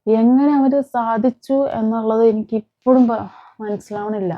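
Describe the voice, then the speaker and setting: A woman's voice: fairly high pitch, steady tone, normal speed, narrow, tinny audio. A woman giving emphatic, opinionated commentary on crime as a vlog host, repeating phrases and posing rhetorical questions. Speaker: vlogger, host.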